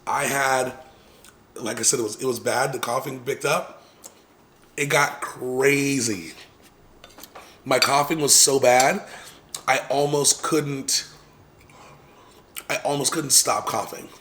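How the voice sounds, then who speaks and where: A man casually telling viewers a long personal story, rambling with many fillers and restarts and clearing his throat now and then. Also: medium pitch, slow, long pauses, a bit bright, a little hiss or hum. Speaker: vlogger.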